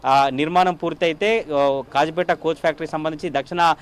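A man reporting the news from the field in a formal register, talking quickly and filling pauses with drawn-out "aa" sounds. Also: a little high, fairly even intonation, clean tone. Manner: fast continuous delivery with frequent "aa" fillers.

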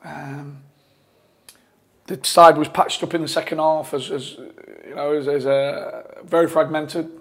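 A football manager in his forties giving a post-match interview from a press room, talking in a measured way with frequent restarts and fillers on a lapel mic. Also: a little high, little pitch movement, fairly bright tone, breathy edge. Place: press room in front of a sponsor board.

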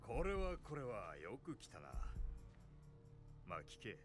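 A man's voice: mid-range voice, huge pitch swings, takes it slowly, over music. A man acting a smug, menacing street-racing crew boss in a Japanese game dub, drawling and commanding.

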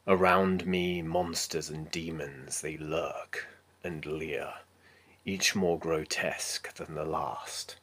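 A man playing a resolute, battle-worn armored knight in a dramatic film monologue, declaiming slowly and solemnly with breathy phrase endings. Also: rumbling low, fairly even intonation, a bit bright, heavily acted. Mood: grim, foreboding.

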